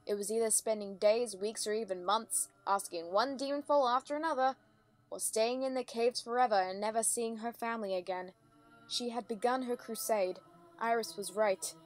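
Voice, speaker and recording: A woman's voice: a little high, normal speed. A woman reading scripted story narration as the narrator of a dramatic reading. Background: faint music.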